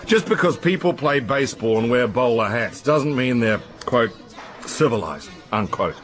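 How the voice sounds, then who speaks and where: A man's voice: mid-range voice, lively intonation, normal speed, natural voice. An actor in a radio drama playing a crude, blustering, middle-aged Australian intelligence man who likes his drink and talks in slang. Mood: tipsy, ranting. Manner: brash, slangy, opinionated.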